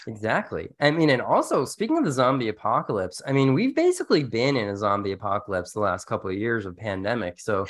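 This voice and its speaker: Low-voiced man co-hosting a podcast. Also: very animated, quick pace, few pauses. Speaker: podcast co-host. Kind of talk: conversational podcast discussion of teaching tips.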